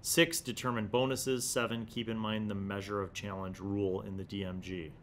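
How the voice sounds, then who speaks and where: Man reviewing, with low rumble behind. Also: flat delivery, moderate pace, a bit bright. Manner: enthusiastic, emphatic. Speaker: host of a tabletop gaming magazine review series. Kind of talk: casual review commentary mixed with reading aloud. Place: small indoor studio room. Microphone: desk microphone close in front.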